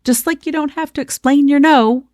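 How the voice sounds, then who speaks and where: A woman's voice: high voice, normal speed, darkish tone, no room sound, clean studio sound. A woman co-hosting a conversational personal-growth podcast, speaking in a reassuring, emphatic way and drawing out key words. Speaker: podcast co-host.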